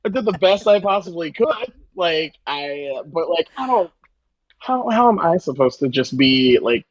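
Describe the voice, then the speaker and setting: A man's voice: fairly high pitch, animated, unhurried, dry recording, muffled recording. A man chatting casually as a guest chef, with a joking, self-deprecating manner. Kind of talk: casual chat.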